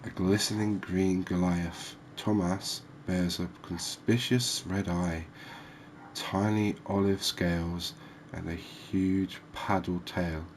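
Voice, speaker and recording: A man giving soft-spoken ASMR game commentary as the host, in a gentle, unhurried, hesitant way. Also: low voice, steady tone, a little hiss or hum, low-quality recording. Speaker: gaming video host.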